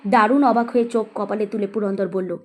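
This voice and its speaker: A woman's voice: mid-range voice, fairly even intonation, brisk, darkish tone. A woman reading scripted narration for a suspense audio story.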